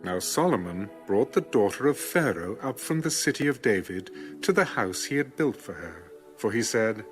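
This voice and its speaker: A man narrating scripture from a script in a measured, formal way. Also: fairly low pitch, animated, soft background music. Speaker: narrator of an audio Bible.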